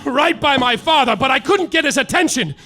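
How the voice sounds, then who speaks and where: A hapless hardboiled private eye who narrates his own troubles in a wry, deadpan noir drawl. His voice sits very high and bright. Faint music plays behind him. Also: brisk. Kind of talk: live comedic radio-play narration. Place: live theater stage.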